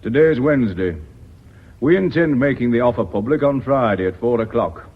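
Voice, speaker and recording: An actor playing a ruthless, blunt businessman in British radio drama, speaking in a curt, menacing, deliberate way. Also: mid-range voice, expressive, husky. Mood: curt, demanding. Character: ruthless, blunt businessman pressing a hostile takeover. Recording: slightly dull radio drama recording.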